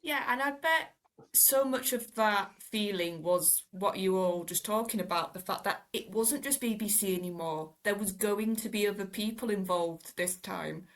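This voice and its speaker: A woman chats as a podcast panelist in a casual, thoughtful way. Her voice is medium in pitch, and her phrasing is expressive as she thinks through her points. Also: moderate pace. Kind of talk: casual discussion.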